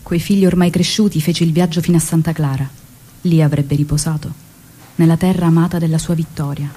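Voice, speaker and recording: An actress playing a mature, grieving widow who remembers in a hushed, measured, solemn and tender theatrical monologue. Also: female voice, sits low, fairly even intonation. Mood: solemn, tender grief.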